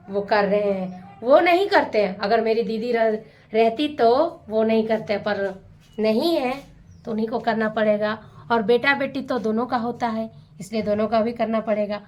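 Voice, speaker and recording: A young woman, hoarse from a sore throat, chatting candidly in a village vlog while she cooks. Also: fairly high pitch, echoey room, dull audio. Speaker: vlogger. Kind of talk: casual vlog narration while cooking. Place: village home kitchen.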